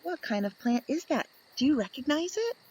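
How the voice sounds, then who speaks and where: A woman reading a picture book aloud to young children, expressive and playful, asking the listener questions as she goes. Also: sits high, normal speed, poor audio. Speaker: children's story reader.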